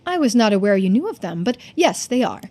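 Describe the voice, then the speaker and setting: A woman's voice: medium pitch, very animated, a little fast, a little mellow. A woman voicing a polite, composed young video game character who speaks in a formal, precise way. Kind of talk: video game dialogue read-aloud.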